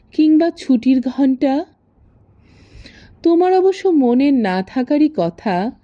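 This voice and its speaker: A woman reciting a romantic poem expressively, with tender, wistful and deliberate phrasing, in a muffled, echoing recording. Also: a little high, warm tone. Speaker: poetry reciter.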